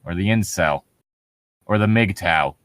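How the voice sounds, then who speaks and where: A man's voice: low-pitched, slow pace, a bit bright, a little hiss or hum. A man reading a story aloud mockingly, with casual, sarcastic joking and some swearing. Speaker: co-host of a comedic story reading.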